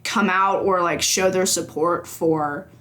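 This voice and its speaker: A woman's voice: lowish voice, fairly even intonation, slow, fairly bright tone. A young woman guesting on a games podcast, speaking casually and thinking aloud with plenty of hedging. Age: young adult. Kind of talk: casual interview chat.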